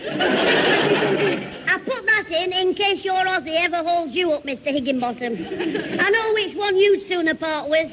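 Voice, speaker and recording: A man's voice: extremely high pitch, measured pace, long pauses, a crowd in the background. A man playing a cheeky, scheming schoolboy full of insolent wisecracks in a radio sitcom. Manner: cheeky back-chat. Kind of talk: 1970 radio sitcom acting. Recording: muffled noisy vintage radio recording, audience.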